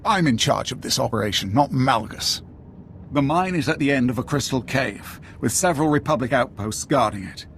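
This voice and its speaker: Brusque, commanding Imperial officer. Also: male voice, medium pitch, animated, moderate pace, fairly bright tone, a little hiss or hum. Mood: indignant, disdainful. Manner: clipped, authoritative, disdainful. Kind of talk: video game cutscene acting.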